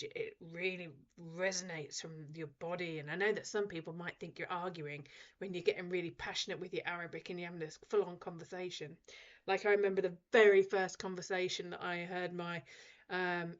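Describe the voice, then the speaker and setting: A woman radio host in her forties, chatting casually and ranting with animated, laughing energy. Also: on the low side, lo-fi sound. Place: radio studio.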